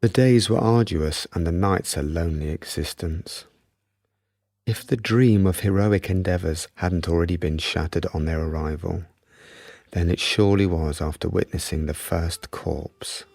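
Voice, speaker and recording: A man's voice: low-pitched, normal speed, creaky, a little mellow, no room sound. A man narrating a scripted radio drama in a storytelling style.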